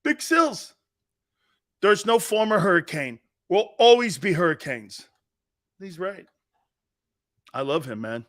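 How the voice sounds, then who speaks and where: A man's voice: high voice, big pitch swings, slow pace, long pauses, fairly bright tone. A middle-aged man hosting a live sports talk show, joking and rambling, laughing often as he talks. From Italian-American family.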